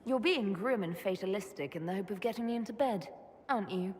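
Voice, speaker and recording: A woman's voice: medium pitch, brisk, close to an everyday voice. A woman voicing a dry, direct elf leader. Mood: dry, even. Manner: dry, matter-of-fact. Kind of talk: video game cutscene dialogue. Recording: game audio, faint ambience.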